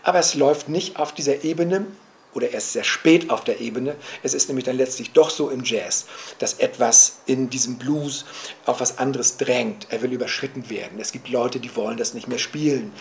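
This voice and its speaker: Male interviewee, middle pitch, earnest lecturing manner full of pauses and restarts. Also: creaky, slight background noise. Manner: searching, lecturing, with many hesitations and restarts. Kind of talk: reflective spoken argument, recorded for a radio production.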